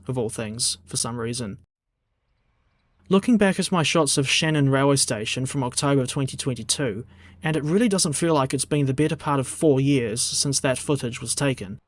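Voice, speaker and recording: A man with a New Zealand accent reading a scripted narration in a dry, measured, digressive way. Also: mid-range voice, dry recording. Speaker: video essay narrator.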